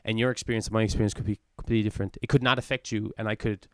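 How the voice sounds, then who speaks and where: A man's voice: fairly low pitch, fairly even intonation, very fast, no room sound, clean studio sound. A man in his twenties co-hosting a podcast, talking in an earnest, rambling way full of fillers. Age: 20s. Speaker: podcast co-host. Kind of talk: casual chat.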